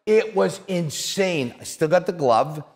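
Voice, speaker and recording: A Canadian man in his fifties hosting a casual interview, telling stories in an animated, emphatic way and repeating words for punch. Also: a little high, measured pace, breathy edge. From Toronto, Canada. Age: 50s. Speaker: interview show host, retired athlete. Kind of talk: casual conversational storytelling. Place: small office-like studio set, indoors. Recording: echoey room with long reverb.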